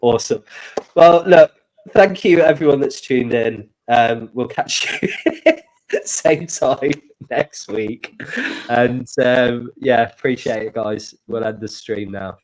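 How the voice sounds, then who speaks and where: A man hosting a live stream with a strangely high, squeaky voice, as if from a glitch. He sounds stuffed up from a blocked nose. He rambles cheerfully through his announcements. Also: slow, darkish tone, low-quality recording. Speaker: stream co-host. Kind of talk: casual live stream chat. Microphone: desk microphone.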